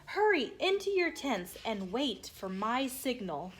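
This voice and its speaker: A man's voice: very high-pitched, sing-song, a little slow, a bit bright, full character voice. Woman putting on an eager storybook knight. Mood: excited, urgent. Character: eager, busy knight of Camelot, organizing the party.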